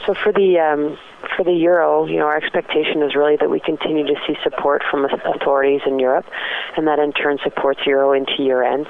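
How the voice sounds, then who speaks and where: Over a thin, faintly noisy phone line, a woman gives market analysis as a currency strategist. She talks quickly, in an analytical interview style. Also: extremely low pitch, creaky. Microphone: telephone. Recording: narrow tinny phone line with faint noise.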